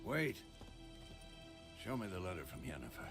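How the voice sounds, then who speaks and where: Lively, wry old mentor. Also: male voice, deep voice, normal speed, vocal fry, heavily acted. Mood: musing, practical. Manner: wry, avuncular. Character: wry, fond old male mentor monster hunter. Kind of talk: video game voice acting. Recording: loud music behind.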